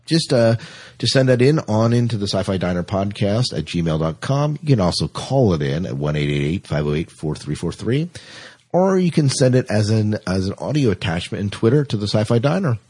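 A man with a husky voice hosting a podcast in a casual, chatty style. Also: fairly low pitch, very animated, brisk, dark tone. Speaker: podcast host.

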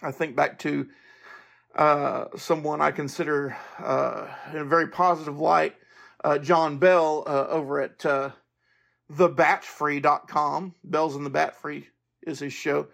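A man's voice: on the high side, steady tone, unhurried, vocal fry, a bit bright. A man hosting a podcast, speaking informally and earnestly in a monologue to listeners. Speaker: podcast host.

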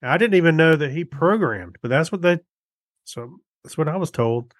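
A man's voice: fairly high pitch, expressive, moderate pace, no room sound, clean studio sound. A man co-hosting a podcast, chatting casually in a slightly hesitant, conversational way. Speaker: podcast co-host. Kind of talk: casual chat.

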